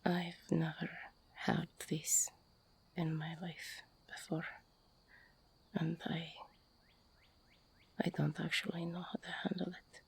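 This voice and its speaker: A woman playing an older, tough ex-con girlfriend in an intimate audio roleplay, tender, teasing and insecure, confiding haltingly in a husky, half-whispered voice. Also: lowish voice, level tone, slight background noise. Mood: tender, confessional. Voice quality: husky, gravelly, breathy.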